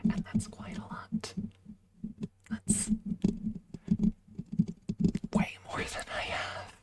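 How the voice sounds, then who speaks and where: A woman streamer chatting casually and informally in an ASMR livestream, thinking aloud close to the microphone. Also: drawn-out words. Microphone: close microphone adjusted for ASMR.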